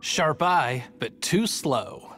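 A man's voice: a little high, animated, extremely slow, fairly bright tone, exaggerated voice. A man playing a sly, taunting villain in a fantasy video game, with a smug drawl. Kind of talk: video game character acting.

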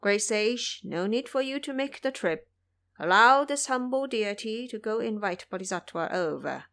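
A woman's voice: a little high, expressive, normal speed, a bit bright. A woman voicing a humble, dutiful male guardian deity in an audio drama, formal and deferential. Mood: helpful. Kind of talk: audio drama character acting.

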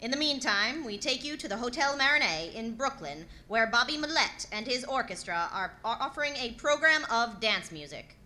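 A woman playing a formal, measured studio announcer reading bulletins in 1930s radio style. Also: on the high side, expressive, lightly acted. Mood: formal, composed. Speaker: actor in a radio drama recreation.

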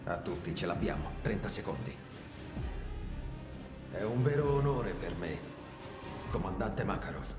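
A man's voice: low-pitched, sing-song, a little slow, long pauses, reverberant, long reverb tail, music in the background. A man playing a loyal, respectful soldier in a military game, terse and deferential.

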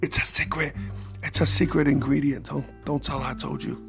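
Raspy, gravelly man hosting a podcast. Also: on the high side, little pitch movement, a little fast, faint music behind. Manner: rambling with many restarts, growing emphatic. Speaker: podcast host. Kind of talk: casual solo podcast monologue.

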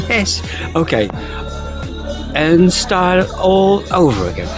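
A man's voice: high voice, sing-song, slow. A man, filming and co-hosting a sailing vlog in playful, laughing casual chat. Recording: loud music over the voice.

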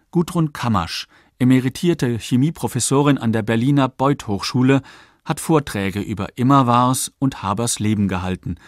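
A man reading scripted radio documentary narration in a measured, articulate way. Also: mid-range voice, no echo, studio quality.

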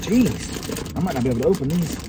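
A man's voice: a little high, quick pace. A young man hosting a casual snack taste test from inside a parked car, reacting with chatty enthusiasm. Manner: chatty, animated food reactions. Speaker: vlog host. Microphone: camera on the dashboard. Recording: car interior with ambient noise.

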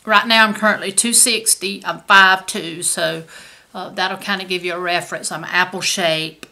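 A woman's voice: lowish voice, fairly even intonation, normal speed, creaky, pushed and bright. A woman, chatting casually about her shopping haul to a camera at home. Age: 50s. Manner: chatty, folksy, says y'all. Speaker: haul vlogger. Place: home kitchen indoors.

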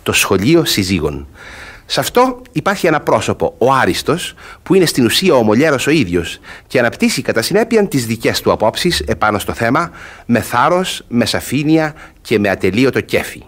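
A man's voice: medium pitch, very animated, a bit bright. Formal man giving a scripted introduction. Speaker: translator introducing the play.

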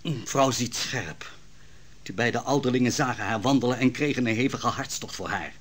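A man's voice: mid-range voice, moderate pace, a bit bright, close to an everyday voice. An actor playing a royal counsellor fearing for his wife, speaking earnestly and with agitation in a vintage radio drama. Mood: agitated. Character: royal counsellor, Susanna's anxious husband.

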